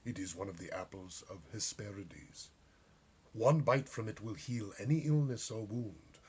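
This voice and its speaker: A man's voice: lowish voice, measured pace, breathy edge, pitched below the performer's own voice. A man voicing a gallant, earnest young knight of legend, solemn and courtly in a storybook reading. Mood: solemn, grand.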